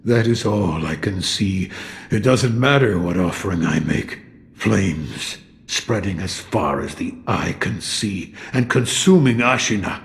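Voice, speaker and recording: An actor playing a weary old sculptor in a video game, gruff, guarded and grave, with a gravelly voice. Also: male voice, deep voice, lively intonation, measured pace, exaggerated voice. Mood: bleak, curt. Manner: curt, grave. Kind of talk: dramatic video game voice acting. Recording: long hall-like reverb.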